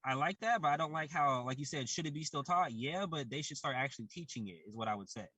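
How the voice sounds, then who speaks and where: A young man talks on a podcast in a relaxed way and makes his points with everyday comparisons. His voice is bright and sits a bit high. The sound is dry and close. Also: quick pace, husky, no echo. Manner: loose, explains with everyday comparisons. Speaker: podcast co-host. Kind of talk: casual chat.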